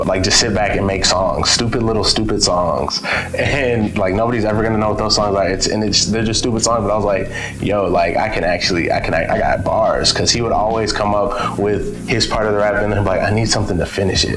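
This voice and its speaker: A man's voice: fairly low pitch, steady tone, brisk, over music, rough recording. A man in his twenties from Texas, a rapper talking casually and at length about his influences in an easygoing, rambling way. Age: 20s. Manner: easygoing, rambling and self-deprecating. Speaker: rapper in a music group. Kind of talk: casual self-introduction interview.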